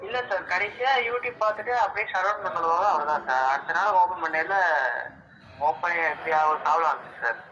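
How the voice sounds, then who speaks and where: A man's voice: sits high, fairly quick, bright, forceful tone. A young man answering politely and deferentially in a casual phone conversation. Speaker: prank call recipient. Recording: muffled phone call, noisy.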